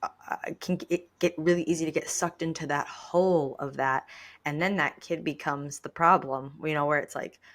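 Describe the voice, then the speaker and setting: A woman's voice: low-pitched, steady tone, moderate pace. A woman in her thirties co-hosting a podcast, chatting informally and candidly with a self-deprecating way of talking. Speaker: podcast co-host. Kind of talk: casual chat.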